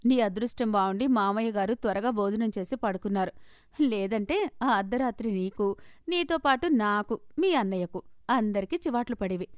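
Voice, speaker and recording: A caring young sister-in-law, voiced by a woman storyteller, gently cautions in a confiding, chiding way. It is a cartoon moral story with a very dry sound and medium female pitch. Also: normal speed, clear, resonant tone, no room sound, natural voice. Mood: fond chiding.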